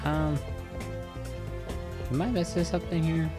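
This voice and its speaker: A young man hosting a let's-play, chatting in a rambling, casual way and talking back to the enemies on screen, keeping his naturally loud voice down at home. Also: on the high side, fairly even intonation, unhurried. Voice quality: loud voice held down to a murmur. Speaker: gaming channel host. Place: home room next to a neighbor's bedroom. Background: faint game music.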